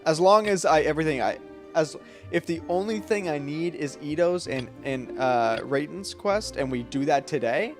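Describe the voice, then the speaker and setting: A man chatting casually to livestream viewers in a rambling, slangy way. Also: medium pitch. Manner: rambling, informal slangy talk. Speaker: game livestreamer. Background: background music.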